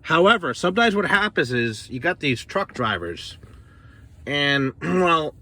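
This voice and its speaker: A man's voice: on the high side, big pitch swings, measured pace, bright, forceful tone. Rambling, plain-spoken American man. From northern United States. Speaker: CB radio enthusiast reviewing gear. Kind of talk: casual off-the-cuff product demonstration. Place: inside a parked car.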